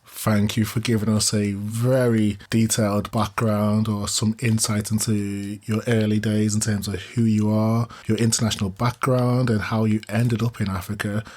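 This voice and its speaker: A man's voice: lowish voice, little pitch movement, normal speed, few pauses, a little mellow. A man hosting an interview podcast, asking long, polite and fairly formal questions. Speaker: podcast host.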